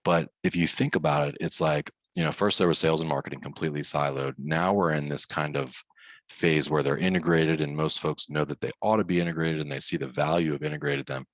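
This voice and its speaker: A man with an extremely deep voice, recorded close and dry. He hosts a podcast, chatting casually as he sums up points and addresses guest and listeners. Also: brisk, no room sound. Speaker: podcast host.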